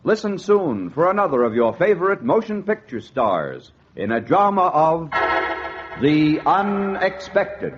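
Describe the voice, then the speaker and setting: A man's voice: on the high side, sing-song, measured pace. Theatrical 1940s radio announcer man over organ. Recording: dull vintage 1940s radio recording. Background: organ music.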